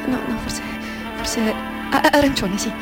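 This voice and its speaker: An older mother reminisces in a radio play over clearly audible music. She speaks wistfully and haltingly, doubting her own memory and correcting herself as she goes. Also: high-pitched. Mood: confused, searching. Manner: hesitant, self-correcting, wistful, questioning herself. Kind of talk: radio drama monologue. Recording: music under the voice.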